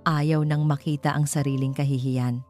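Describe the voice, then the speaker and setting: Female Filipino narrator for dramatic love stories, low mellow voice over faint music. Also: little pitch movement, normal speed. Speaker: storyteller, narrator. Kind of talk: dramatic scripted narration of a romance story.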